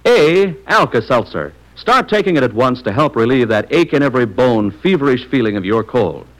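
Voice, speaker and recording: A man delivering a scripted 1940s radio commercial as an announcer, with emphatic, measured salesmanship. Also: medium pitch, sing-song, rough recording.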